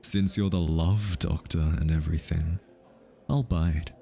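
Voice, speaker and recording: A man playing an easily flustered, lovestruck hitman in an intimate ASMR roleplay, halting and stammering as he nervously over-explains. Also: low-pitched, steady tone, dark tone, breathy edge, heavily acted. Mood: playfully challenging.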